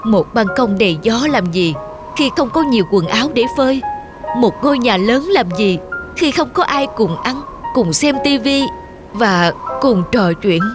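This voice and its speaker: A woman's voice: fairly high pitch, lively intonation, unhurried. Lonely middle-aged woman, music behind. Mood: regretful. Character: lonely, regretful older woman living alone. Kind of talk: Vietnamese cartoon voice acting. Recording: music under the voice.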